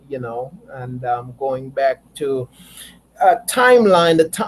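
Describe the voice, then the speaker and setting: Male teacher, slightly high voice, easygoing and repetitive, call audio. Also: a little hiss or hum. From Jamaica. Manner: warm, repeats words, laughs often. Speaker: teacher leading an online study group. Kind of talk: casual religious group chat.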